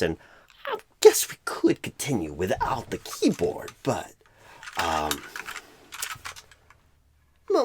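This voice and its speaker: A man voicing a clueless, flustered job recruiter in an ASMR roleplay, rambling with odd pauses mid-sentence. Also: medium pitch, a little hiss or hum. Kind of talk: ASMR roleplay, comic improvised monologue.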